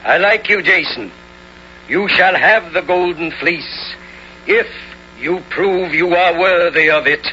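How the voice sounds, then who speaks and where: An actor playing a crafty, haughty ancient king in a radio drama, pompous, measured and sly. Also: sits high, bright, forceful tone, exaggerated voice. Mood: mock-kindly cunning. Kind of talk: 1970s radio drama acting. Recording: dull old radio recording, faint hum.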